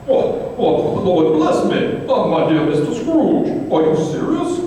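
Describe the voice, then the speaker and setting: A man playing a flustered, grateful charity gentleman in an old-time radio drama, polite and exclaiming. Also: high-pitched, sing-song, takes it slowly, heavily acted. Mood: astonished, grateful. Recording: echoey hall, distant mic, faint noise.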